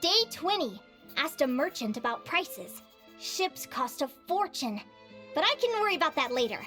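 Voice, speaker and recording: Game heroine: young adventurer, dreamy and eager, very high voice, swinging intonation, faint music behind. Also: female voice, big pitch swings, normal speed, pushed and bright, heavily acted. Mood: brisk, chipper. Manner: bubbly, wide-eyed, talks to herself. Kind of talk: video game voice acting, monologue.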